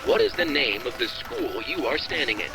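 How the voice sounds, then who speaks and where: An actor playing a taunting ghostly entity that talks like an old military radio announcer, clipped, commanding and mockingly playful. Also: male voice, mid-range voice, wildly swooping pitch, moderate pace, gravelly, music in the background, low-quality recording. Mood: mocking, official. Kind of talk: 1940s radio announcer acting, quiz-master delivery.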